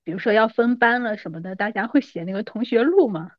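A woman's voice: a little high, lively intonation, fast talker, no room sound, muffled recording. A woman in her thirties chatting casually and informally as a podcast guest. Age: 30s. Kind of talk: casual reminiscing chat.

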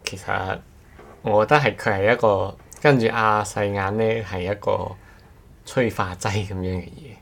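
A man's voice: on the low side, steady tone, moderate pace, vocal fry, fairly bright tone. A man co-hosts a casual podcast, talking hesitantly and restarting his phrases. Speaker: podcast co-host.

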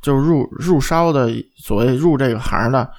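A man's voice: medium pitch, normal speed, a little mellow. A man chatting casually and giving opinions as a podcast co-host, emphatic and given to repeating himself.

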